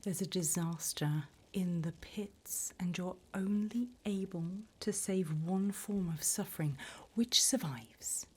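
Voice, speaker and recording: A sly female demon, played by a woman, conducts an interview in an ASMR roleplay. The voice is almost all breath, a soft low whisper. Each phrase comes slowly and deliberately, with polite, teasing menace. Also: breathy edge. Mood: coolly testing.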